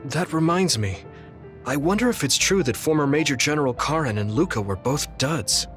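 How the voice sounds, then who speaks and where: An actor playing an earnest, quietly troubled young male soldier hero in a video game cutscene. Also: on the high side, animated, normal speed, faint music behind. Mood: concerned.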